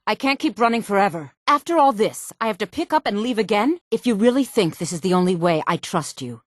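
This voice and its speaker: A woman voicing a proud, secretive exiled noblewoman in a fantasy video game, with a sultry, velvety voice and measured, dramatic, formal delivery. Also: mid-range voice, expressive, no echo, studio quality, natural voice. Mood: distressed.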